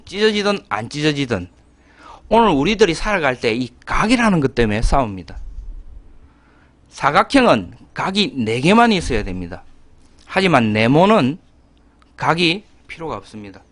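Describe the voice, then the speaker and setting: A middle-aged man speaks with a Gyeongsang accent as a guest pastor. He talks slowly, stopping often, and his pitch moves a lot. The recording is dry, with almost no room echo. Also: very animated, fairly bright tone. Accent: Gyeongsang dialect. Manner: slow, deliberate, with pauses and filler "아". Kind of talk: conversational explanation.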